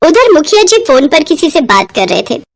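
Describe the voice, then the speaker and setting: A woman's voice: sits high, big pitch swings, moderate pace. A woman narrating a scripted moral bedtime story as a storyteller.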